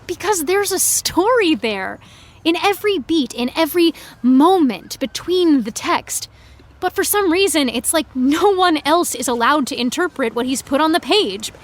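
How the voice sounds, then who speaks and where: High-voiced, opinionated teenage fangirl. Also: expressive, moderate pace. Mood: indignant, passionate. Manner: earnest, articulate, indignant teen speech. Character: frustrated, opinionated high school senior fangirl. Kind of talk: naturalistic audio drama dialogue.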